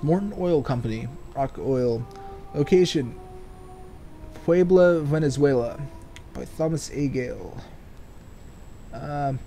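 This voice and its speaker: A man's voice: a little high, dark tone. A man doing casual game commentary and reading game text aloud, sardonic and free with swearing. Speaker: let's player.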